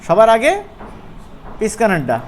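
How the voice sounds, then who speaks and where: A man's voice: sits high, big pitch swings, a little slow. Bangladeshi man teaching casually, noisy recording. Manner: conversational, playful, often checking with listeners. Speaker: animation instructor. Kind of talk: informal screen-share software tutorial.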